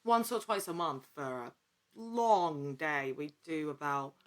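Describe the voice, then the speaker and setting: A woman's voice: low voice, expressive, a little slow. A woman speaking as a guest in a casual interview, in a wordy, rambling way that often interrupts itself. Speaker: podcast guest, actor and producer.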